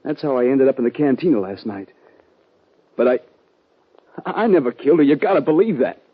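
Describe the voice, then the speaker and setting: A man playing an anguished young outlaw cowboy with a Western drawl and husky voice, in 1950s radio western style. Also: mid-range voice, sing-song. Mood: weary, wounded. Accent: American Western drawl. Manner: emotional, halting, pleading. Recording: dull vintage 1950 radio recording.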